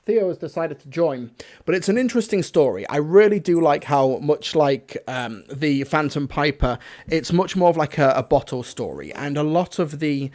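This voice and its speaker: A man's voice: on the high side, lively intonation, moderate pace, darkish tone. A man hosting a casual, off-the-cuff review stream, talking in an enthusiastic, rambling way. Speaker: reviewer, livestream host.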